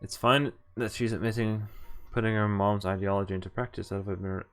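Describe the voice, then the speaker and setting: A man's voice: on the low side, level tone, brisk. A man reading visual novel text aloud and adding casual, sweary commentary as he streams. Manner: swears freely.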